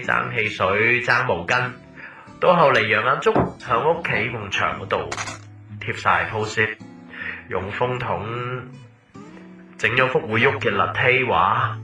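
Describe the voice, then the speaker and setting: A man's voice: medium pitch, bright, forceful tone. A man with a Hong Kong Cantonese accent playing a wistful former lover who leaves a recorded voice message, casual and nostalgic, guiding the listener along like a tour guide. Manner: casual, nostalgic, tour-guide patter mixed with English words. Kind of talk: audio drama monologue as voice message. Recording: roomy, slightly distant, music behind.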